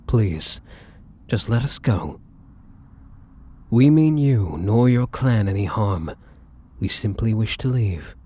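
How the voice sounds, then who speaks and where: A brooding male vampire, acted by a man for an audio roleplay, deep and husky. He speaks formally and theatrically, defiant and protective, with swooping intonation that carries bitterness and menace. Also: animated, warm tone. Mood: pleading. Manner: slow, theatrical, formal phrasing. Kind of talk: ASMR audio roleplay acting.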